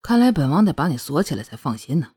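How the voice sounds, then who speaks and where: A woman's voice: low voice, very animated, fast talker, warm tone, breathy edge, voice pushed down, full character voice. A woman voicing a smug, seductive, possessive prince in a storybook romance, teasing and commanding as she drawls.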